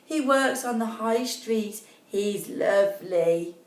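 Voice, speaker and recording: A woman's voice: fairly high pitch, takes it slowly. A woman voicing a sweet, wistful young fairy-tale princess in a storybook. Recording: echoey room, distant mic.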